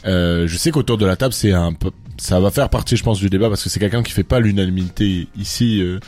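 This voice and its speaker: A man co-hosting a casual rap debate, speaking in a measured way with frequent hesitations. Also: sits low, a little mellow, soft background music. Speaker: co-host.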